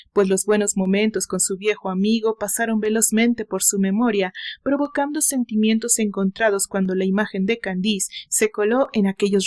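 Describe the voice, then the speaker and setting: A woman with a Mexican accent giving a dramatic scripted narration of a story as its author and narrator. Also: mid-range voice.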